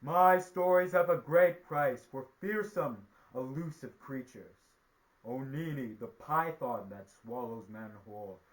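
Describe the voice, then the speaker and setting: A man voicing a powerful, boastful sky god from a folk tale, with grand, booming theatrical delivery. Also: slow pace, lightly acted, low-quality recording. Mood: imperious.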